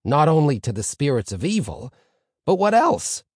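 A man voicing a pompous older rabbi teacher in an audiobook story, declaiming with dramatic pauses and rising questions. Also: a little high, big pitch swings, darkish tone, breathy edge, no room sound, clean studio sound, voice pushed up. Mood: declaiming, questioning.

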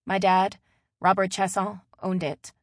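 A woman's voice: medium pitch, flat delivery, unhurried, dry recording, lightly acted. A woman voicing a polite, reserved young city woman in an audiobook. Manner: polite, hesitant.

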